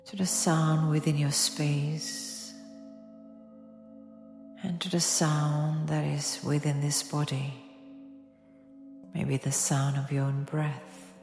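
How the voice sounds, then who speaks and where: A woman teaching a guided yoga nidra meditation in a soft, soothing, unhurried way with long pauses. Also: sits low, little pitch movement. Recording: echoey long reverb, music behind.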